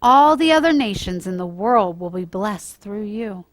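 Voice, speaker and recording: A woman's voice: medium pitch, lively intonation, measured pace, no echo, natural voice. A woman voicing a commanding, benevolent angel from a children's Bible story, proclaiming emphatically.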